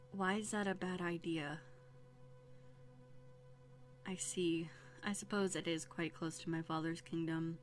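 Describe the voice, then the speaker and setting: A woman playing a young runaway princess in a roleplay audio drama, playful and plucky, with a conversational, teasing manner. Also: on the low side. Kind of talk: scripted one-sided roleplay audio drama. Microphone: close USB condenser microphone. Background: soft music.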